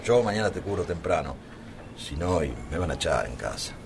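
Actor as low-voiced Argentine union delegate, noisy surroundings. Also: male voice, big pitch swings, fairly quick, creaky, exaggerated voice. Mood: businesslike. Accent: Rioplatense Argentine. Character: concerned male factory union delegate, plain-spoken workmate. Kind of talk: naturalistic radio drama acting. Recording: noisy location sound, partly off-mic.

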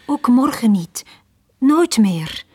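Young lonely woman, fairly high voice, very breathy and soft-toned, pleading, fragile, radio drama. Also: very animated, slow pace, full character voice. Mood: despairing. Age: young adult. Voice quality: breathy, husky.

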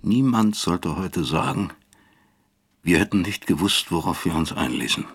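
A weary professor looking back on his own guilt in a radio drama. His voice sits extremely low and is full of gravel. He narrates in a husky, deliberate, reflective way. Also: big pitch swings, exaggerated voice. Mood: sober reflection. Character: weary, self-accusing professor of medicine recalling his East German past.

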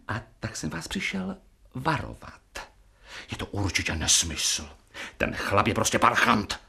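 Husky, deferential official. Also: male voice, on the low side, bright, forceful tone, full character voice. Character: deferential, grateful male official warning his doctor. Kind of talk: radio drama acting.

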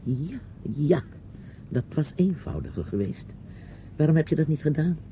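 A man's voice: a little high, expressive, moderate pace, slight background noise, natural voice. An actor playing a reproachful, patronising uncle questioning his niece in a radio play. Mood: probing, accusing. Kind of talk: radio drama acting. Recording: dull old radio play recording, music.

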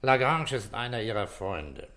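An actor playing a persistent police detective in a German radio drama, probing in a terse, matter-of-fact way. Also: male voice, medium pitch, very animated, normal speed, a bit bright. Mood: grave, factual. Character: persistent, composed male police detective questioning a suspect.

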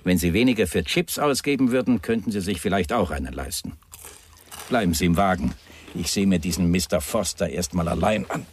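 An actor playing a sardonic, brusque police inspector in a German radio crime drama, with a dry, teasing, probing way of talking. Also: male voice, deep voice, animated, a little fast, a little hiss or hum.

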